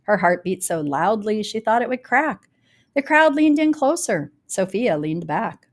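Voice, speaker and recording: A woman reads a rhyming children's storybook aloud, telling it in a dramatic, deliberate way with varied emphasis. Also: medium pitch, sing-song. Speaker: storybook reader. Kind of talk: expressive read-aloud of a rhyming children's picture book.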